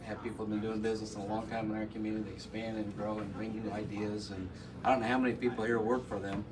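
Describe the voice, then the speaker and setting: Man, Midwestern accent, mayor, joking remarks, lowish voice, far away, loud crowd. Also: level tone, fast talker. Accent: Midwestern American. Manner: joking, offhand, friendly ribbing. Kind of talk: short ceremonial remarks. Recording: distant mic, loud crowded room.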